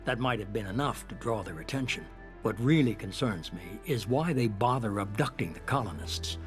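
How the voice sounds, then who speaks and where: An actor playing a shadowy, calculating middle-aged leader in a sci-fi game, speaking with measured, composed authority. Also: male voice, on the low side, lively intonation, faint music behind, close to an everyday voice. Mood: cool, measured. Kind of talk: cinematic sci-fi game dialogue.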